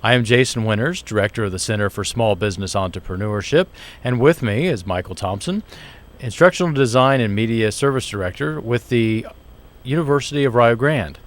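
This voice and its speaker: A man's voice: medium pitch, brisk. Chatty male talk show host. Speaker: show host, small business center director. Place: university TV studio.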